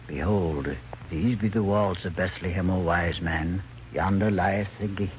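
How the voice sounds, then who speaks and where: An actor playing a blunt, sceptical shepherd guide of ancient Judea in an old-time radio drama, declaiming in archaic English. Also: male voice, low voice, unhurried. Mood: respectful, informative. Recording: noisy, muffled old radio recording.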